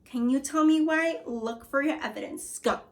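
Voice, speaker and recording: A woman's voice: high-pitched, moderate pace. A woman teacher leading a read-aloud lesson for young children, asking questions and guiding them in an encouraging, instructive way. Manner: encouraging, instructive, addressing pupils directly. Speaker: elementary school teacher.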